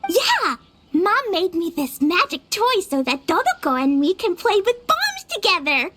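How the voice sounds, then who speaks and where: A woman voicing an excitable, eager little girl adventurer in a video game, with music behind her. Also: very high-pitched, measured pace, slight background noise, heavily acted. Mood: eager, excited. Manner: eager, childlike.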